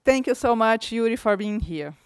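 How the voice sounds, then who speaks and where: Effusive woman host, crisp dry voice. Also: a little high, lively intonation, no echo. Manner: warm, effusive, quick praise of the guest. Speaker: event host introducing speakers. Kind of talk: stage introduction. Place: conference hall.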